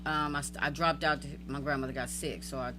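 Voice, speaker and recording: A woman's voice: very deep voice, steady tone, fast. A woman from the Southern US with a Southern accent, a podcast guest who talks in a laid-back, hesitant way. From Southern United States. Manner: laid-back, hesitant, lots of agreeing murmurs. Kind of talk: casual podcast chat. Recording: noisy, humming background.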